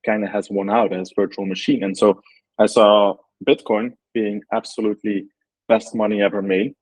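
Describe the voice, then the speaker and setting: A man's voice: fairly low pitch, level tone, moderate pace, darkish tone, no echo, poor audio. A man from Belgium, a startup founder, answering interview questions thoughtfully with many ums and likes.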